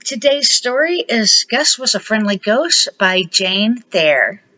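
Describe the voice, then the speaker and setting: A middle-aged or older woman, a grandmother reading a children's storybook aloud in a deliberate, expressive amateur way. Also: medium pitch. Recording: dull lo-fi home recording.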